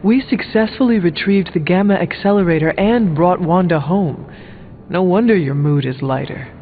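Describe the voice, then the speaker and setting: An actor playing an earnest warrior hero in a video game, talking in a formal, careful way. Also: male voice, high voice, moderate pace, slight background noise. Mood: sympathetic. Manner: formal, careful, avoids contractions. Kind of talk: video game character dialogue. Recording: muffled phone-like band, music behind.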